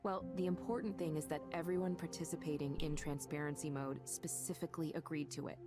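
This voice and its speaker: Chief engineer for a visual novel, played by a woman: female, very low register, composed and explanatory, over clear background music. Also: steady tone, natural voice. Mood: quietly defensive. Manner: measured, explanatory, defensive of her company. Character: composed, matter-of-fact female chief engineer at a tech company. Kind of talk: visual novel game voice acting.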